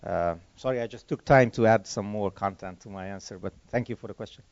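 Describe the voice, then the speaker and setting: A man's voice: fairly low pitch, brisk, darkish tone, no echo. Man answering at a conference. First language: Hungarian. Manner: chatty, rambling, self-correcting. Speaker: conference speaker. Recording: dull lo-fi conference recording.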